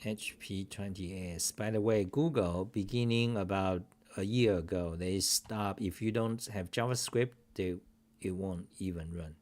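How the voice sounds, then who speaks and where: A man's voice: sits low, unhurried, darkish tone. A middle-aged man hosting a casual screen-share talk show at his home desk, rambling and grumbling with profane asides. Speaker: host.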